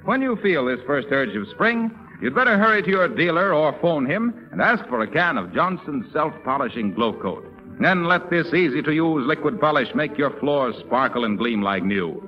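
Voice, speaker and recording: A man with an American accent announcing in scripted 1930s radio style. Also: on the high side. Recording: muffled 1930s radio broadcast.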